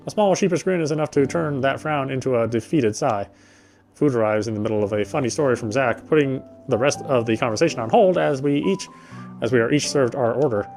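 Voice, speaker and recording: Man reading game text, soft music behind. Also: mid-range voice. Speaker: game streamer reading aloud. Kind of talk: reading visual novel text aloud with casual asides.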